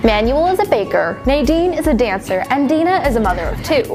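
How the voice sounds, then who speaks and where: A woman's voice: high voice, very animated, a little fast, darkish tone, soft background music. A woman reading scripted documentary narration.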